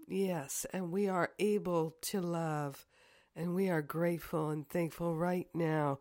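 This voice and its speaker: A woman with a croaky, hoarse, congested voice, speaking as a spiritual teacher in deliberate, affirming phrases. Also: on the low side, a little mellow. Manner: deliberate, repetitive affirming phrases with long pauses. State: hoarse from sinus trouble. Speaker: spiritual teacher leading a prayer. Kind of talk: devotional guided prayer, then casual announcements.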